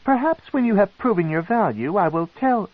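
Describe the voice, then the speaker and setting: A man voicing a cold, commanding female dark elf matriarch with an imperious, snarling manner. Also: high voice, animated, moderate pace, no echo, phone-quality sound, pitched above the performer's own voice.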